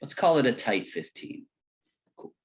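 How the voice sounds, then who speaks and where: Man playing a flustered, high-voiced film director, muffled. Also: very animated, measured pace. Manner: rambling, indecisive.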